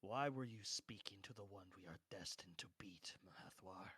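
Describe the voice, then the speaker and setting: A man's voice: low voice, bright, forceful tone, slightly rough, dry recording, voice pushed down, full character voice. Man playing a pompous, smug knight, close and dry. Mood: low, probing. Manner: pompous, theatrical, deliberate. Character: arrogant, smug male knight with boundless ambition.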